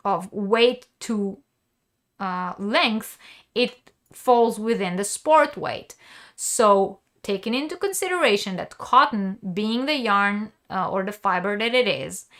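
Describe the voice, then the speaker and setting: Slow-talking woman teaching crochet. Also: mid-range voice, pushed and bright. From Israel. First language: Hebrew. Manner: explanatory, many ums and uhs. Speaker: crochet teacher hosting a live stream. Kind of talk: live-stream tutorial, reading chat.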